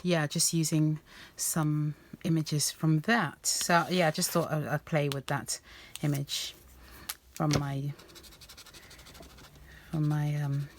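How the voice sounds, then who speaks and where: A woman rambling softly with many ums and restarts as she works on an art journal page, her voice a little croaky. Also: low voice, flat delivery, slow, a little hiss or hum. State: slightly croaky voice. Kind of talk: casual craft-along chat.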